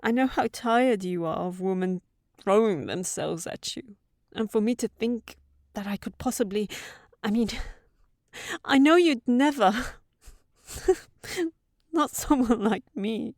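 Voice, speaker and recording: A woman's voice: on the high side, unhurried, a little mellow, no echo, studio quality. A woman playing a sensible young housekeeper secretly in love with a wizard, in an intimate, earnest audio roleplay. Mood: flustered, apologetic.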